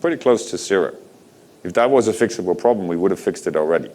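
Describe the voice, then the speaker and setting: A man's voice: deep voice, animated, quick pace, a little mellow. A middle-aged man from Denmark giving a conference keynote, asking the audience rhetorical questions in a conversational way. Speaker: conference speaker. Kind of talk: keynote talk.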